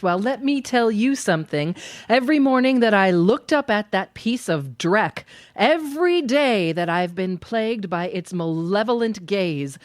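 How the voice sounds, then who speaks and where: Radio drama wife, woman actor, sardonic and emphatic, very animated melody, dry recording. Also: mid-range voice, no echo, close to an everyday voice. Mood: exasperated, indignant. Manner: theatrical, emphatic, wordy. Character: fed-up, sardonic wife nagging about a hated painting. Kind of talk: live radio play acting.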